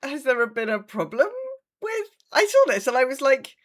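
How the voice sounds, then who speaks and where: A woman's voice: high-pitched, very animated, normal speed. A British woman co-hosting a gaming podcast in casual chat, with a wry, hesitant way of talking. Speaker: podcast co-host.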